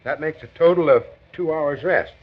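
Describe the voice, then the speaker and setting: A man's voice: fairly high pitch, normal speed, natural voice. An actor playing an eager, plucky working man in 1940s radio drama, hearty and emphatic with an American accent. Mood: earnest, eager. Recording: dull, noisy 1940s radio recording.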